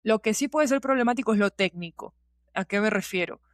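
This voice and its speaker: A woman's voice: mid-range voice, fairly quick, no echo, studio quality. A woman with a Latin American Spanish accent that uses vos, talking casually as a podcast guest and checking in with her listener. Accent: Latin American Spanish with voseo. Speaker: guest, podcast fiction producer.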